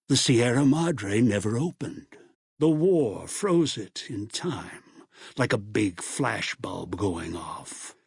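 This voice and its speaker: An actor voicing a bitter, obsessive old schemer in a video game, with a gravelly voice and an ominous, deliberate delivery. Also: male voice, lowish voice, no echo, studio quality, heavily acted. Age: older. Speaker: video game villain and narrator. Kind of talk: dramatic video game voice acting.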